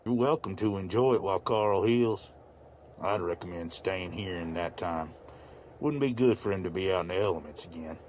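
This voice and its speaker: Actor as a deep-voiced older farmer, plainspoken. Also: male voice, normal speed, darkish tone, a little hiss or hum, natural voice. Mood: calm, hospitable. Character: weary older widowed male farmer, former vet, plainspoken. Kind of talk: voice acting for a motion comic.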